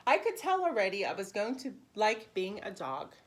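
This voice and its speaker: Expressive woman reading to preschoolers, swooping pitch. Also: normal speed. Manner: simple, explanatory child-directed speech with expressive emphasis. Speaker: preschool teacher reading a storybook aloud. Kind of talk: animated read-aloud for young children.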